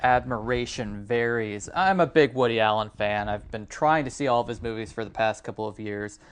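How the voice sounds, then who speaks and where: A man with an average-pitched voice talks through film opinions on a podcast. His delivery is casual and conversational. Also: a little fast, clear, resonant tone. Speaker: podcast co-host reviewing films.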